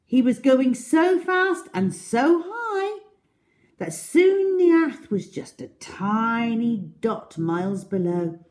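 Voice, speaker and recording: A woman telling a children's story in an expressive, deliberate way with dramatic emphasis. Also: sits high.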